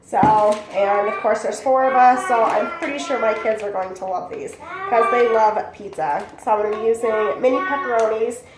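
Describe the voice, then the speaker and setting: A woman's voice: fairly high pitch, normal speed. A young woman with a congested voice from bronchitis, chatting casually as she demonstrates a recipe in her home kitchen. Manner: chatty and rambling, talks while working. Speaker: cooking channel host. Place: small home kitchen, indoors. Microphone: camera mic from a few feet away. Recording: echoey kitchen with background noise.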